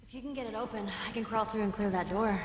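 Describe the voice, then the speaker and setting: A woman's voice: medium pitch, fast, natural voice, poor audio. A woman playing a plucky, wry teenage girl survivor in a video game. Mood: game, curious. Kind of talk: video game dialogue acting.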